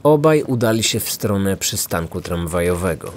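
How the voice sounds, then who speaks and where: A man's voice: low voice, lively intonation, normal speed, faint music behind. A man narrating a scripted true-crime podcast as its host, in a grave storytelling manner.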